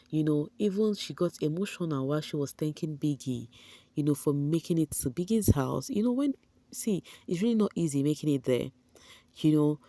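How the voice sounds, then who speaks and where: Low-voiced woman gossiping breezily. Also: mellow, dry recording. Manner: breezy, gossipy, with slangy asides and "you know" fillers. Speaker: entertainment vlogger. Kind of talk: chatty gossip recap to camera.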